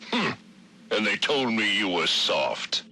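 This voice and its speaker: A self-satisfied, merciless male judge in a game. The voice is low and very forceful, pontificating theatrically. Faint noise sits on the recording. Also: very animated, takes it slowly, full character voice. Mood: smug. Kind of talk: video game audio log acting.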